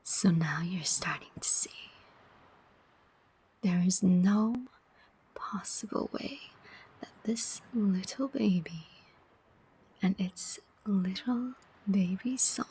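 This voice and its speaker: A woman playing a persuasive, sardonic demon who collects souls, in a whispered ASMR roleplay with deliberate, coaxing phrasing. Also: mid-range voice, dark tone, slightly rough, poor audio. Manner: slow, deliberate, coaxing whisper with pointed questions.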